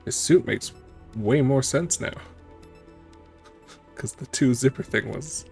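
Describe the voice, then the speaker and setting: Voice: medium pitch, takes it slowly, vocal fry, darkish tone, faint music behind. A streamer giving casual, informal gameplay commentary in a musing manner. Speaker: streamer playing and reacting to a game.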